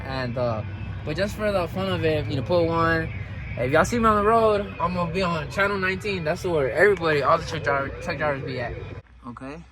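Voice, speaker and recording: A man's voice: fairly high pitch, brisk, a crowd in the background. A man chatting casually for a vlog in laid-back, slangy words, with plenty of "you know". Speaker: trucker vlogger. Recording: noisy recording around truck and radio.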